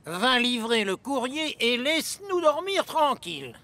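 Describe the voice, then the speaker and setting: A man's voice: extremely high pitch, very animated, unhurried, pushed and bright, heavily acted. A man playing a grumpy, haughty steam engine who scolds in exasperation in a cartoon dub.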